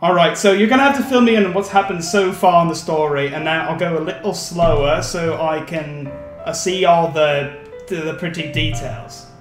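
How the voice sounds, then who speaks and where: A man's voice: a little high, moderate pace. A man hosting a let's play, chatting casually and joking with a tongue-in-cheek, teasing manner. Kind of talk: casual joking game commentary. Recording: roomy echo with music behind. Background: music.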